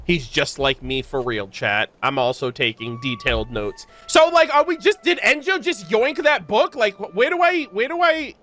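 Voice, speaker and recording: A man's voice: high voice, sing-song, normal speed, few pauses, pushed and bright, a little hiss or hum. A man giving casual live gameplay commentary as a streamer, using gamer slang and talking to his chat. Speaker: streamer reacting to a game. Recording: game music behind the voice.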